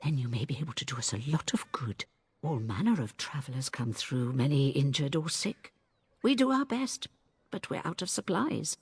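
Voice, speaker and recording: A woman's voice: extremely low pitch, animated, normal speed, breathy edge, exaggerated voice. A woman playing a brusque, weary village healer in a video game, speaking in blunt, earthy phrases.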